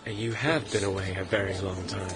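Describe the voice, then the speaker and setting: An actor playing a genteel, wistful young New York gentleman in an audiobook drama, speaking in a measured, formal and refined way. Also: low voice, fairly even intonation, close to an everyday voice. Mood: earnest, tender. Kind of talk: audiobook drama narration and dialogue. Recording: music behind the voice.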